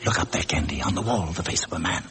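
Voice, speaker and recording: A man's voice: low voice, fast, a bit bright. An actor playing a droll, affected male sidekick in an old radio drama, arch, theatrical and teasing. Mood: amused scoffing. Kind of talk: old-time radio acting. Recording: noisy vintage radio recording.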